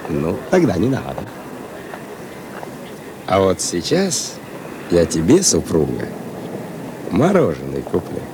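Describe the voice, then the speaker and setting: An actor playing a chatty, jaunty working-class husband in a radio play, colloquial and theatrical. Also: male voice, sits low, wildly swooping pitch, slow, pauses often, noisy background, heavily acted. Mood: jaunty, affectionate.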